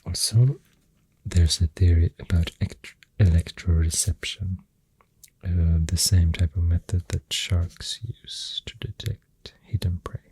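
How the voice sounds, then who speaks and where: A man with a Swedish accent speaking softly in a halting, half-whispered ASMR ramble, close to the microphone. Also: sits low, fairly even intonation, dry recording. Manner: hushed, breathy, half-whispered. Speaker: ASMR host. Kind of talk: soft-spoken ASMR rambling.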